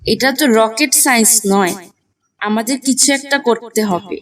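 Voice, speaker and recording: Woman as an earnest high-pitched cartoon schoolgirl. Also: moderate pace, fairly bright tone, recorded from a distance, soft background music. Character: earnest young schoolgirl, sensible and civic-minded. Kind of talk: cartoon dialogue.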